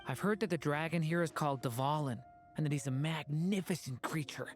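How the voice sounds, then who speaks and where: A woman playing a gentle young dragon boy in a video game, speaking politely, thoughtfully and sincerely. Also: sits low, brisk, close to an everyday voice. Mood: curious. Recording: faint background music.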